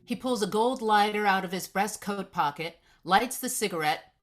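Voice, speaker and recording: A woman narrates a screenplay at a table read, reading out the action and scene headings. Her voice sits at an average pitch for a woman. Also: moderate pace. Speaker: stage-direction reader.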